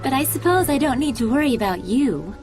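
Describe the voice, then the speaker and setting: A woman playing a gentle, wise spirit adviser in a video game, speaking formally and with measured earnestness. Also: high-pitched, expressive, music in the background. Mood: calm. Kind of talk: English video game dub acting.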